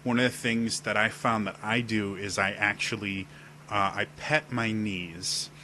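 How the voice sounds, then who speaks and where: Deep-voiced man giving role-play tips. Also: fairly even intonation, unhurried, sharp tone, slight background noise. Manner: explains while demonstrating gestures on camera. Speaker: role-playing tips video host. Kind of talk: casual instructional talk to camera. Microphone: webcam setup at desk.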